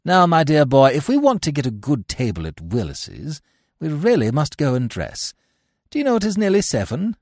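A man playing a witty, idle young gentleman who speaks in a wry, languid manner. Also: a little high, wildly swooping pitch, normal speed, no echo, clean studio sound, voice pushed up, heavily acted.

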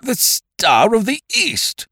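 A man's voice: low-pitched, big pitch swings, normal speed, no echo. A man voicing a distraught English nobleman in an audiobook, spluttering and exclamatory in manner. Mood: astonished, bewildered. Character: haughty English lord, distraught and easily astonished.

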